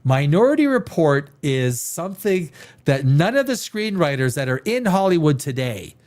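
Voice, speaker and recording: A man's voice: fairly high pitch, big pitch swings, normal speed, a little mellow. A man, chatting casually as a livestream host in an opinionated, emphatic way, repeating phrases to drive points home. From Canada. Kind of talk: casual film chat, rambling commentary.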